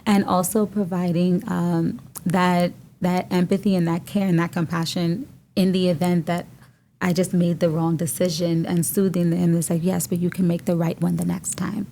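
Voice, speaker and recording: A Bahamian woman co-hosts a podcast from a studio room, thinking out loud with frequent fillers and restarts. Her voice is warm and mellow, set a little low, with a faint hum behind it. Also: flat delivery, normal speed. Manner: reflective, many fillers and restarts. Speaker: podcast co-host. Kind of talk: casual podcast conversation.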